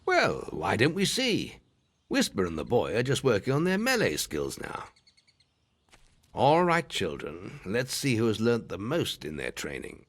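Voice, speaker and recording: A stern but kindly older guildmaster for a fantasy video game. His voice sits low and moves with lively inflection as he instructs and encourages like a seasoned mentor. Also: a little slow, full character voice. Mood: instructive.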